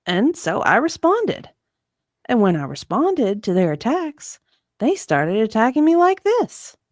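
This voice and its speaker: A woman guest on a livestream, reading a deposition part and chatting in an animated, conversational way. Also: fairly high pitch, measured pace, dry recording, studio quality. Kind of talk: dramatic deposition reading and casual chat.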